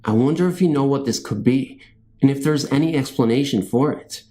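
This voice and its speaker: A man's voice: mid-range voice, fairly quick, dark tone. A man narrating true ghost stories in a scripted storytelling style. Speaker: narrator and host of a scary story channel.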